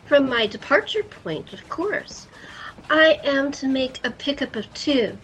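A woman's voice: high voice, animated, unhurried, fairly bright tone, a little hiss or hum, low-quality recording. A woman playing a sweet, genteel, ageless lady of mystery in an audio fantasy drama. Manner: polite, sweet, cryptic.

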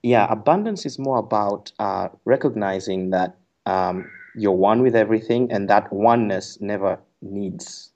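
A man's voice: lowish voice, measured pace, hardly any pauses, darkish tone, dull audio. A man from Kenya, an author, explaining his ideas as an interview guest in a thoughtful way with fillers and restarts. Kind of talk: radio interview conversation.

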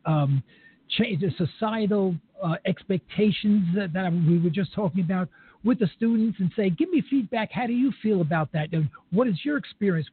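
A man's voice: high voice, slightly rough. An older man interviewing a guest in an opinionated, rambling way, chuckling as he goes. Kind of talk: conversational interview. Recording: tinny phone line.

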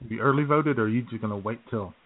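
A man's voice: mid-range voice, very fast. Chuckling man on a lo-fi line. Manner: chuckles often, clears throat. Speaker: podcast co-host. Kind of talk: casual storytelling chat. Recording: phone-like lo-fi audio.

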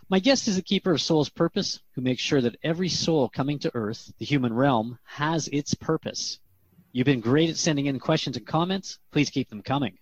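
A man's voice: mid-range voice, no room sound, muffled recording, natural voice. A man playing an earnest, inquisitive radio host in a scripted talk-show drama, speaking conversationally. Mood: welcoming. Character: earnest, curious male radio host questioning a spirit guest.

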